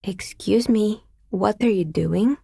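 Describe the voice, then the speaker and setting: An actress playing a wry, pragmatic woman dicemaker in a video game, speaking in a measured, matter-of-fact way with a husky voice. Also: medium pitch, mellow, no echo, clean studio sound, exaggerated voice. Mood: skeptical, irritated. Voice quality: husky, breathy with some creak. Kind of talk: video game character dialogue.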